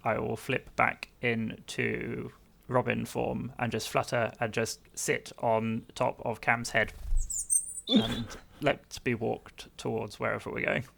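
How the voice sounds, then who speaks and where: A man's voice: mid-range voice, flat delivery, slow pace, gravelly, a bit bright. A man improvising narration of his character's actions as a player in a roleplaying game.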